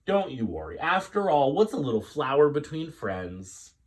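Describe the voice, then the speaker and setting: A kindly older lady from a children's storybook, acted out by a man. The voice lifts high and swings widely in singsong exclamations. She sounds reassuring, though a little weary. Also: sing-song, measured pace.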